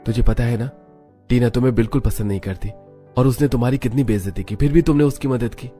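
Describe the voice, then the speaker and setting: A man's voice: medium pitch, fast, warm tone, soft background music, lightly acted. A man playing a curious, puzzled young college man in a cartoon story, questioning insistently. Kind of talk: Hindi cartoon story dialogue.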